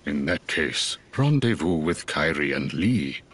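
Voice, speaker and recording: Very deep, solemn old wizard master. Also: male voice, sing-song, a bit bright, slightly rough, full character voice. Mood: grave, instructive. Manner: formal, measured, solemn. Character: old bearded wizard master, grave, commanding, wise. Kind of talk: video game cutscene voice acting.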